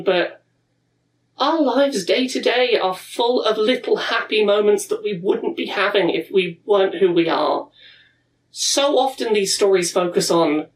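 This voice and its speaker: Woman, thirties, earnest, reflective, middling pitch, distant mic. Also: slight background noise. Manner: earnest, warm, with reflective pauses and restarts. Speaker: speaker at a pride event. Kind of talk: personal reflective talk.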